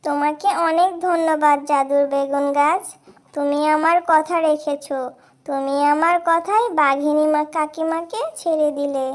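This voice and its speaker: Very high childlike voice, sweet caring young fox girl, cartoon, acted by a woman. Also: steady tone, normal speed, natural voice. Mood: grateful.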